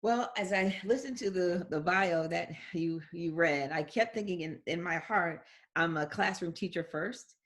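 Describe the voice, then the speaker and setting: A middle-aged woman, a guest educator, answering interview questions in a measured, reflective way, phrase by phrase. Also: fairly low pitch.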